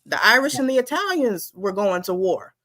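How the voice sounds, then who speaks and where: A woman's voice: mid-range voice, very animated, moderate pace, a bit bright, poor audio. A woman speaking as a guest in a passionate way, now and then mocking the voices of others. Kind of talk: passionate talk.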